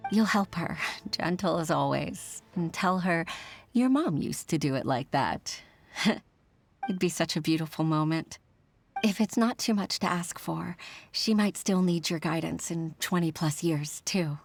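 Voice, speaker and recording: A woman's voice: on the low side, expressive, a little slow. An actress voicing a humble, middle-aged secretary and mother in a video game, modest and a little hesitant. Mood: heartfelt. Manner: modest, hesitant, with soft laughs. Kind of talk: game voice acting. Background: game soundtrack music.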